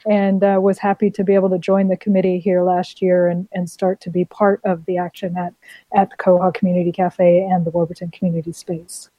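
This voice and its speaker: A woman's voice: on the low side, little pitch movement, fairly quick, no room sound, lo-fi sound. A woman with a foreign accent, a community cafe committee member being interviewed on radio, explaining in a friendly way with many fillers. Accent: non-Australian, foreign.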